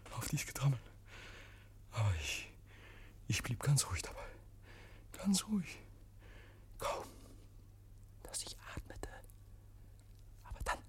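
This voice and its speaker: An actor playing a deranged, obsessive murderer confessing in halting, breathy whispers, as in a 1950s German radio drama. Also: male voice, medium pitch, a bit bright. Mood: feverish, mounting. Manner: halting, feverishly intense, repeating phrases.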